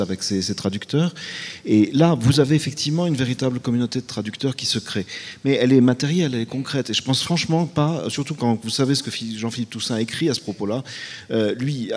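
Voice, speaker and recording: A man on a round-table panel speaks haltingly, repeating small words before landing on emphatic points. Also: medium pitch. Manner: hesitant, many repeated words, then emphatic. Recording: long hall reverb.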